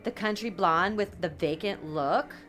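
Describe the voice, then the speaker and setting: A woman's voice: lowish voice, measured pace, a bit bright, faint music behind. Woman acting a haughty, drawling pageant diva. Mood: disdainful. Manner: haughty, sneering, peppers talk with "like". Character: snobbish, nasty female beauty pageant contestant. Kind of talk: comedic audio drama acting.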